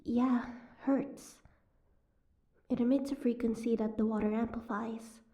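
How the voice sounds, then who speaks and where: A woman's voice: a little high, fairly even intonation, a little slow, warm tone. A woman playing a sardonic, guarded captive mermaid in an audio roleplay, with a wry, teasing, prickly manner. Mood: resigned, bitter.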